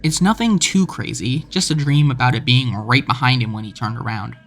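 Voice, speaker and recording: A man's voice: medium pitch, fairly quick, a bit bright, slight background noise. A man narrating a scripted video essay about an internet horror story. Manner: reads quoted messages with rising intensity.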